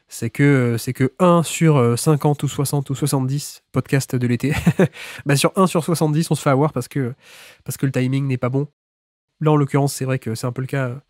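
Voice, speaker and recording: A man's voice: medium pitch, darkish tone, no room sound, studio quality. A man with a cold hosting a basketball podcast, chatting through the introduction with restarts and small laughs. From France. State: has a cold. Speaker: podcast host.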